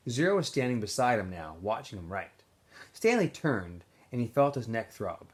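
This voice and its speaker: A man's voice: medium pitch, sing-song, moderate pace. A man reading a children's novel aloud as a teacher would, in an informal way with small stumbles and self-corrections.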